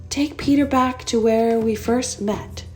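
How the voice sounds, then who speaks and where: A woman's voice: fairly high pitch, fairly even intonation, a little slow, soft background music, natural voice. A woman voicing a jolly, fatherly little fairy king in a storybook, with a genial manner. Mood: gently firm.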